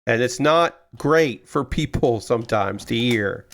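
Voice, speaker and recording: Chatty man with a booming voice. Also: animated, a little slow, gravelly. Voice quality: loud booming voice. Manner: rambling, chatty, restarts often. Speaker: streamer. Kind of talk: casual live-stream chat while building. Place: small warm room at home.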